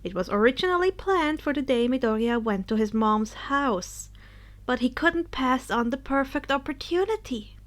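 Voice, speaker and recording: A woman's voice: high voice, lively intonation, moderate pace. A woman narrating fan fiction in a dramatic storytelling style.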